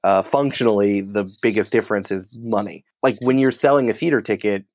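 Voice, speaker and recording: A man's voice: on the low side, brisk, a little mellow, no echo, rough recording. A man speaking as an interview guest in a casual, chatty, explanatory way, often dropping in "like". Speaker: interview guest, podcast company creative head.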